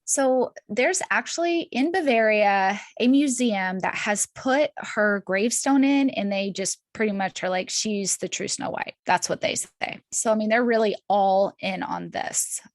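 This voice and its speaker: A woman's voice: medium pitch, lively intonation, a little slow, hardly any pauses, dry recording. A woman co-hosting a podcast, telling stories in a casual, informal and chatty way with emphatic repetition. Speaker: podcast co-host. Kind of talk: casual storytelling chat.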